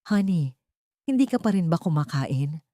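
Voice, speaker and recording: A woman's voice: sits low, sing-song, moderate pace, no echo, clean studio sound. A woman voicing a scheming, manipulative rich woman in an audiobook drama. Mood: sweet, persuasive. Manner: soft, coaxing or coldly menacing.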